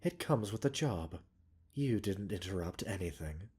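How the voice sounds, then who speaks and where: Male actor as composed pharmacist doctor, soft breathy tone, slow pace, gently chiding, soothing, formal, ASMR roleplay. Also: low-pitched, lively intonation, full character voice. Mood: reassuring, wry. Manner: soothing, unhurried, politely formal with teasing asides. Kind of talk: ASMR roleplay audio drama.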